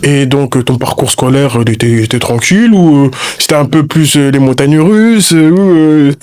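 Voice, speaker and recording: A man's voice: medium pitch, moderate pace, a little mellow. A man hosting a casual interview podcast, playful and teasing, with many hesitations. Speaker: podcast host.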